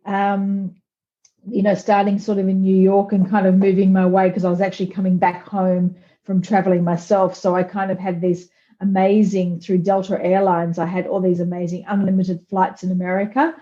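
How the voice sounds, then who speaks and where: An Australian woman speaks as a podcast guest over a remote call. Her voice is mellow and fairly flat, and the sound is dull and lo-fi. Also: mid-range voice, normal speed. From Sydney, Australia. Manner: chatty, cheerful, laughs easily. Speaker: guest, events business owner. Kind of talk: casual interview chat.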